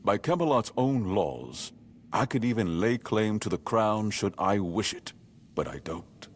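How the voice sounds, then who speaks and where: An actor playing a solemn, duty-bound noble lord in a game, speaking in a measured, stately way. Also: male voice, lowish voice, very animated, slight background noise. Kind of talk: voiced game dialogue.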